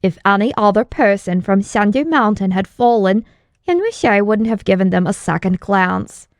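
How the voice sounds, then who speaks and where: A woman's voice: mid-range voice, animated, moderate pace, dry recording, clean studio sound. A woman reading scripted story narration as an audiobook narrator.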